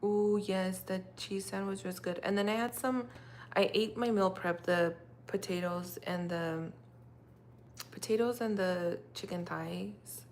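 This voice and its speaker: A woman's voice: medium pitch, fairly even intonation, unhurried, darkish tone. A young woman, a native Russian speaker, chatting casually on a livestream, rambling with lots of fillers. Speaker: livestream host. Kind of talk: casual livestream chat with viewers. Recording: faint background noise.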